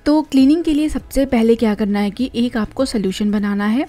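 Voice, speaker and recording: A woman's voice: on the high side, a little fast, mellow, faint music behind. A young Indian woman narrating her home cleaning vlog, chatty and explaining household tips step by step. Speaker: home vlogger.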